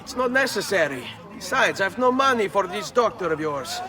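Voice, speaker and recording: A man's voice: high-pitched, lively intonation, normal speed, far from the mic. An actor voicing a cocky, charming, hot-headed young Florentine nobleman with an Italian accent in a video game. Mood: playful, cocky. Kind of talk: video game character acting.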